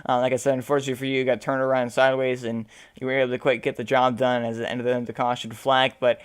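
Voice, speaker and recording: A man with a hoarse, fading voice interviewing sim racing drivers after a race, rambling with many fillers. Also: mid-range voice, level tone, quick pace. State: losing his voice.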